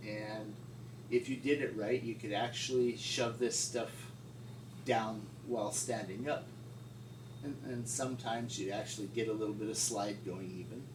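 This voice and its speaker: A middle-aged man reminiscing informally as an interviewee, in a halting, folksy way. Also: lowish voice. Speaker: paleontologist interviewee. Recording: distant mic, echoey room, loud noise.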